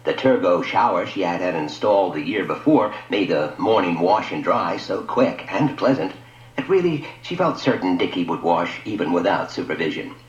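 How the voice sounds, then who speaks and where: A man's voice: sits low, lively intonation, normal speed. A man narrating a scripted science fiction story in a dramatic radio storytelling style. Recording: dull lo-fi audio, echoey room.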